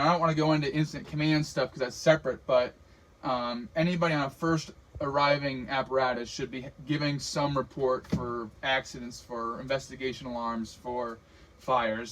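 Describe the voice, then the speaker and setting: A man's voice: medium pitch, a bit bright, rough recording. An American man giving an informal fire radio training lecture, explaining in a casual, plain-spoken way as the trainer. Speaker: firefighter radio communications trainer.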